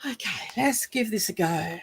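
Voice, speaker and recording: A woman with a croaky voice, chatting casually and apologetically on a home live stream while fixing her phone camera. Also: medium pitch, lively intonation, moderate pace, a little hiss or hum. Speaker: live stream craft demonstrator. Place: room at home.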